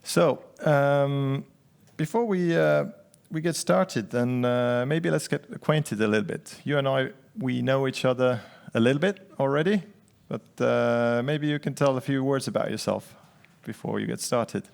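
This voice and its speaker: A man hosting a conference who chats casually with the speaker, joking and halting with many fillers. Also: mid-range voice, vocal fry, darkish tone, clean tone. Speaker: conference host. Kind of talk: casual onstage chat.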